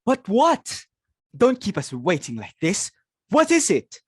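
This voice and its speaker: A woman's voice: mid-range voice, wildly swooping pitch, slow, slightly rough, dry recording, studio quality, exaggerated voice. A woman playing a fussy, snobbish society hostess and mother in a dramatic reading of a stage comedy, speaking in a haughty, exclamatory way. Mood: exasperated.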